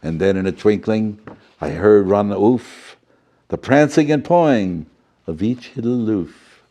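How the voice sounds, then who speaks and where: A man's voice: medium pitch, warm tone. A man playing a jolly, fussy professor storyteller who reads a children's storybook theatrically, with chatty asides and muddled words.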